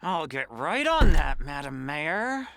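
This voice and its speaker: A woman playing a put-upon, quietly scheming mayoral aide in a comedic audio drama, deadpan and deliberate. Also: low voice, gravelly, exaggerated voice. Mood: sly, mocking.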